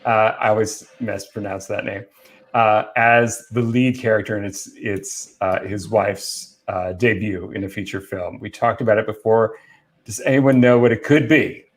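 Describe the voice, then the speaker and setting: A man's voice: on the low side, fairly even intonation, normal speed. A man hosting a casual panel chat about films, explaining trivia with enthusiasm. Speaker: film talk show host presenting movie trivia.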